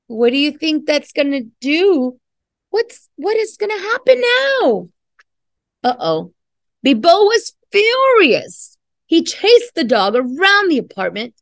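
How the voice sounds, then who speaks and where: A woman's voice: high voice, fairly bright tone, no echo, clean studio sound. A middle-aged woman reading a picture book aloud to children, expressively, stopping to explain and to prompt listeners with questions. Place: classroom, indoors.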